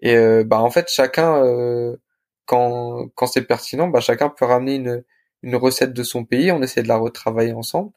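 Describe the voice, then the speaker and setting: A young adult man speaks as a guest in a casual interview. His voice sits at a medium pitch. He talks informally, with halting, hesitant phrasing as he recounts his experience. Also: darkish tone. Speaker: restaurant co-founder, interview guest.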